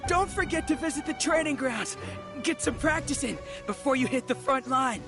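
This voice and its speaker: A man's voice: very high voice, moderate pace, over music. A man voicing a gung-ho injured male soldier in a video game, bluff and eager to train. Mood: keen, urging.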